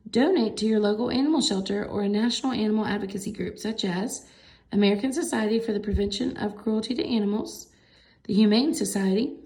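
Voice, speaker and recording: A woman's voice: medium pitch, a little mellow, rough recording. A woman reading a picture book aloud to children, expressively explaining the pictures as she goes. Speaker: story reader for children. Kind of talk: picture book read-aloud with simple commentary.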